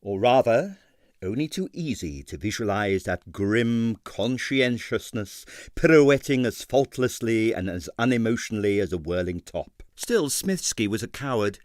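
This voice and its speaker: Animated male audiobook narrator. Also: lowish voice, measured pace, dry recording, clean studio sound. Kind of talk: scripted comic novel narration.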